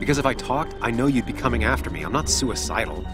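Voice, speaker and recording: A man's voice: medium pitch, expressive, quick pace, natural voice. An actor playing a brooding, analytical investigative journalist who tries to keep his nerve, in a video game. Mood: pleading, tense. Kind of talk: video game dialogue and inner narration. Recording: dramatic music behind.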